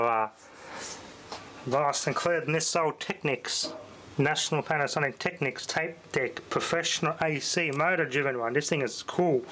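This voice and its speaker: A man's voice: on the high side, a little hiss or hum. A man giving a casual, rambling hands-on demonstration in informal, slightly slangy speech, as a vlogger would. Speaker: vlogger showing vintage hi-fi gear. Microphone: handheld camera, off screen. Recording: loud music playing behind the voice. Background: music from a cassette deck.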